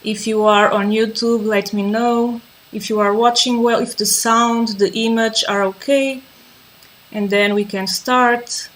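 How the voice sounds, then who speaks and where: Calm woman teaching a live class. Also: a little high, measured pace, a little hiss or hum, rough recording. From Azores, Portugal. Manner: calm, patient, repeats herself while waiting for viewers. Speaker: yoga and mindfulness teacher. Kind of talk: live-streamed class introduction.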